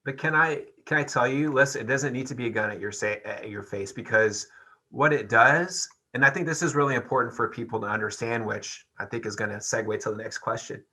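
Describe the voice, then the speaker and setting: A man with a bright, forceful voice of medium pitch talks as a guest in a podcast discussion. He reflects on personal experience deliberately and emphatically, addressing the listener directly. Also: breathy edge. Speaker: panel guest.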